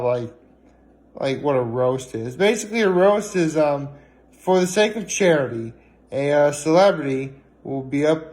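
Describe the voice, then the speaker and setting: A man chatting casually in a vlog, rambling and hesitant, with frequent pauses and filler words. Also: on the high side, a little mellow. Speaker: vlogger.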